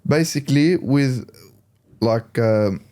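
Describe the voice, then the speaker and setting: A young man in his twenties, born in Lebanon, talks in a mellow, warm voice. He answers in a relaxed, easygoing way, pausing and restarting as he gathers his thoughts. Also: medium pitch. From Lebanon, raised in Australia. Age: 20s. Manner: easygoing, laughs along, halting. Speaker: courier, guest. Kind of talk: casual interview answers.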